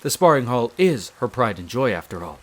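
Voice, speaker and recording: A man's voice: medium pitch, sing-song, brisk. A man playing a courteous, devoted head servant of a vampire castle in a roleplay audio drama, speaking with formal, polite diction. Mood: courteous, concerned. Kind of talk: scripted roleplay audio drama, addressing the listener.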